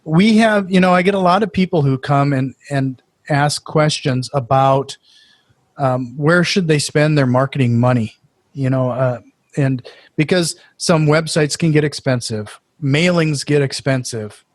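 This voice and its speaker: A man hosting a podcast in casual chat, talking in quick restarts with filler words and eager reactions. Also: fairly high pitch, no room sound. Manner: friendly, stumbling restarts and "uh", enthusiastic reactions. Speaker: podcast host.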